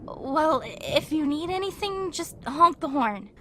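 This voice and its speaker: A woman's voice: sits high, expressive, slow pace, fairly bright tone, a little hiss or hum, exaggerated voice. A woman playing a polite, concerned and slightly hesitant young companion in an amateur audio drama.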